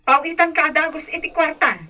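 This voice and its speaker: A woman's voice: high voice, steady tone, brisk, over loud music, close to an everyday voice. A Filipino woman playing a protective, exasperated elder sister in a radio drama. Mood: scolding, urging. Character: scolding, protective elder sister urging escape. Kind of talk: Ilocano radio drama acting.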